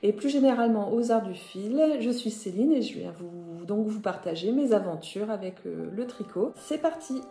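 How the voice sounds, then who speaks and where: A woman's voice: medium pitch, animated, normal speed, few pauses, warm tone, soft background music. A woman from northern France with a Ch'ti accent, hosting a knitting podcast in a casual, hesitant chat full of self-corrections. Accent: Ch'ti (northern French). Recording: echoey room.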